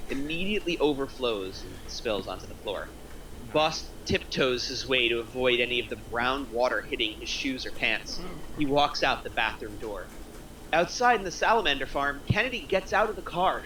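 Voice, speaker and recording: A man's voice: medium pitch, sharp tone, a little hiss or hum, low-quality recording. A man reading stage directions as the narrator at a script table read.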